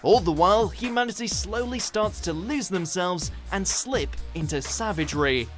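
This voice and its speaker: This is a man with a notably high voice for a male speaker. He delivers scripted narration in the manner of a countdown video narrator. Faint music plays behind his words. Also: animated, normal speed.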